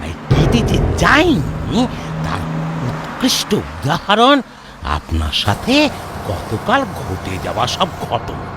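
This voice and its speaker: A performer playing a helpful villager who explains things with dramatic, solemn storytelling in a cartoon, with loud music behind. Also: mid-range voice, huge pitch swings, takes it slowly, very noisy. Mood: solemn, recounting. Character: helpful, solemn villager recounting local ghost lore. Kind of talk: cartoon voice acting.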